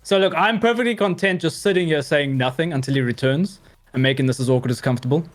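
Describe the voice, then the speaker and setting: A man's voice: fairly high pitch, quick pace. A man, a guest on a casual stream, chatting animatedly and swearing while greeting viewers. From South Africa.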